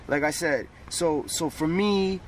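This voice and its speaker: Higher-voiced Toronto man talking street slang. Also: slow pace. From Toronto, Canada. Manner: emphatic, repetitive, peppered with slang. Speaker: rapper being interviewed. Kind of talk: casual street-slang interview talk. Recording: noisy outdoor street with traffic.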